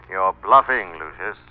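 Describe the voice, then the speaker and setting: An actor playing a mysterious invisible avenger in an old-time radio drama, taunting and stern, through a filtered, disembodied effect. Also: male voice, low-pitched, exaggerated voice. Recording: tinny filtered old radio, music behind.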